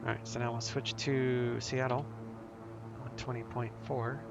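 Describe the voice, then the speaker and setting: A man streaming a flight simulator, making radio calls and calmly talking himself through cockpit steps. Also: medium pitch, measured pace, creaky, muffled recording, music in the background. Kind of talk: casual sim commentary with radio calls.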